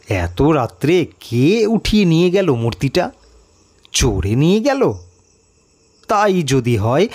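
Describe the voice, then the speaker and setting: Slow, animated male storyteller. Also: fairly high pitch. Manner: expressive, suspenseful, with rhetorical questions. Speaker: audio story narrator. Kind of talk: dramatic first-person storytelling of an eerie mystery tale.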